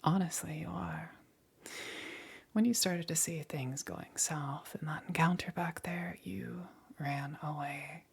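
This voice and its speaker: A menacing demon queen, played by a woman for ASMR roleplay, speaking in a very breathy, whispered voice with a gravelly creak. She takes her time with every phrase, extremely slow and coaxing, teasing and commanding at once. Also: low voice, heavily acted. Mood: pleased, patronizing.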